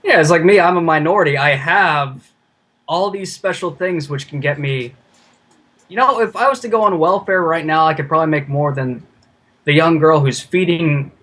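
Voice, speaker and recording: Guy chatting casually, kinda husky, bit on the higher side, halting slangy way of talking. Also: a bit bright. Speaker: listener guest. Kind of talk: casual chat.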